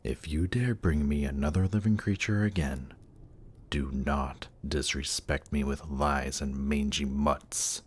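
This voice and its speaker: A male actor performs a suave demon prince in a fantasy roleplay drama. His voice is exceptionally deep and he takes his time over every phrase. He sounds polished and regal, with a measured, condescending courtliness. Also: steady tone, husky, full character voice. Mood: quietly menacing. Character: suave, haughty demon prince ruling a circle of Hell.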